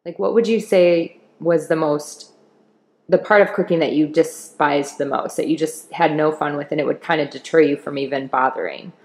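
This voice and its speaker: A woman's voice: deep voice, steady tone, moderate pace, a little mellow. A woman chef interviewing a friend in a home kitchen, chatty and friendly, with plenty of fillers. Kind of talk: casual interview. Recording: roomy home kitchen sound.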